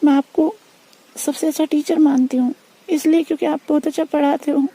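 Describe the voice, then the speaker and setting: High, very soft-toned earnest young woman. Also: fairly even intonation, a little fast, no echo, poor audio. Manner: earnest, reproachful but polite, insistent. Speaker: student. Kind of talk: private phone conversation.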